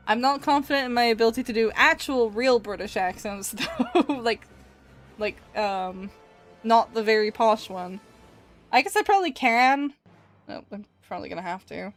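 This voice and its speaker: A woman's voice: a little high, moderate pace. Giggly woman streamer with Canadian-leaning accent, music behind. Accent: mixed Canadian-leaning North American. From Edinburgh, Scotland. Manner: giggly, chatty. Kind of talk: casual livestream chat. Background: background music.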